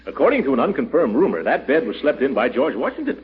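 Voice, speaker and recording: An actor playing a pompous, blustery middle-aged uncle who flusters easily, in 1940s radio comedy style. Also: male voice, mid-range voice, huge pitch swings. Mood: sly haggling. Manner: blustery, drawn-out exclamations and hesitations. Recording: dull, hissy 1940s radio recording.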